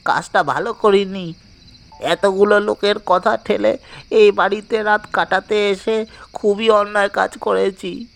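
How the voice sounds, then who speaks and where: A terrified young man in a haunted house acted by a man for a horror audio story. He speaks slowly and fearfully, full of regret, with a creaky, gravelly edge. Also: sits high, a bit bright, full character voice. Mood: panicked regret.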